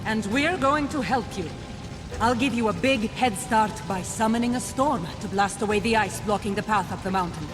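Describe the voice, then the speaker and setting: A woman's voice: medium pitch, moderate pace, close to an everyday voice. A woman playing a proud, assured warrior goddess, a favored daughter, in a video game. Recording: clear music behind the voice.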